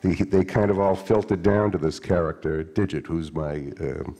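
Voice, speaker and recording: An older author recalling his past on stage, halting and soft with many pauses. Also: deep voice. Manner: halting, storytelling, lots of fillers and restarts trailing soft. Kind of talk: onstage conversation. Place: stage of a hall with an audience. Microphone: handheld mic. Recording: long hall reverb.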